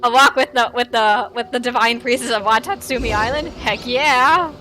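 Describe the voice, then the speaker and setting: A woman's voice: a little high, normal speed, pushed and bright, faint music behind. A woman game streamer reacting casually to gameplay on a livestream.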